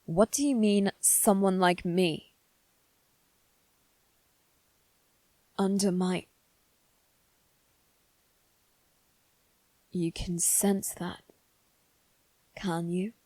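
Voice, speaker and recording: A woman voicing a guarded, haughty young noble boy in a scripted ASMR roleplay, with measured, formal phrasing. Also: fairly low pitch, steady tone, dark tone, no room sound. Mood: wary, unsettled. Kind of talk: ASMR roleplay, one-sided scripted dialogue.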